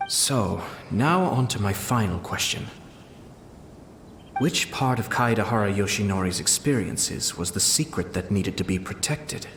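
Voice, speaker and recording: An actor playing a sly, composed man who speaks in a measured, formal, faintly amused way. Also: lowish voice, animated, fairly bright tone, slight background noise. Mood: knowing. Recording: roomy game voice-over with music.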